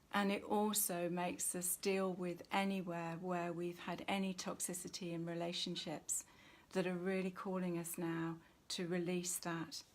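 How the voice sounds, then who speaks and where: A woman giving an unscripted spiritual oracle reading in gentle, deliberate phrases with long pauses, sounding low in energy. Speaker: spiritual reader and host.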